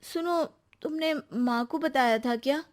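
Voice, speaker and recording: A woman's voice: high-pitched, measured pace, pitched above the performer's own voice. A woman voicing an emotional young married woman who argues plaintively in a cartoon story. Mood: upset, defensive.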